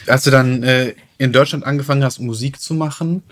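Quick-talking male interviewer. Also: medium pitch, steady tone, fairly bright tone. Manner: quick, chatty, follows up with questions. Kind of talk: conversational interview.

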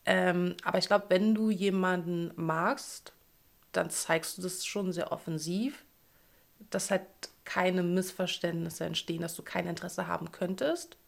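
A woman's voice: lowish voice, a little slow, vocal fry. A woman in her thirties from Berlin, co-hosting a chatty podcast in a playful, teasing way and laughing often. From Berlin, Germany. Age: 30s. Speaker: podcast co-host. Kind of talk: casual chat.

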